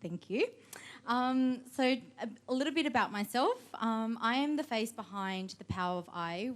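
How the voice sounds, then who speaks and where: A woman co-hosting a live event, speaking in an earnest, measured way. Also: mid-range voice. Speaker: event co-host. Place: event room with audience.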